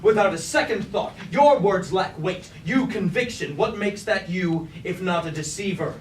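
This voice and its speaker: An actor playing a loyal, hot-headed robot warrior, indignant and declamatory in a staged reading of a tragedy. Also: male voice, high-pitched, moderate pace, lightly acted. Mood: accusing. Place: indoor staged reading at a university. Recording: roomy, distant stage recording with light noise.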